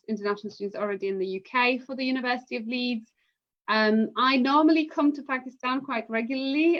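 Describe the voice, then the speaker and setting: A woman from Poland, a university recruitment manager, speaking in a measured, hesitant way with many fillers during a live admissions session from home over a video call. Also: mid-range voice. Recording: muffled lo-fi online call audio.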